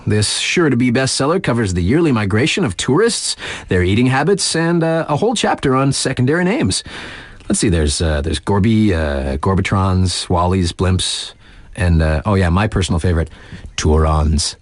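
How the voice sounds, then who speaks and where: An actor playing a laid-back, wry mountain-town radio DJ in a video game, reading mock local news with casual, slangy deadpan asides. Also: male voice, on the low side, moderate pace, no echo. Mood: playful, wry, then deadpan, amused.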